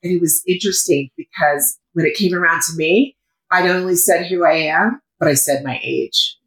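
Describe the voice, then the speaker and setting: Loud fifty-something woman, emphatic and excited, bit of room echo. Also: lowish voice, moderate pace. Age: 50s. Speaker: guest, founder of a women's community. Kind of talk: conversational interview.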